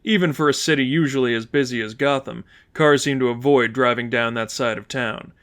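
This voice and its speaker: A man's voice: mid-range voice, brisk, fairly bright tone, smooth. A man reading fan fiction aloud as scripted audiobook narration.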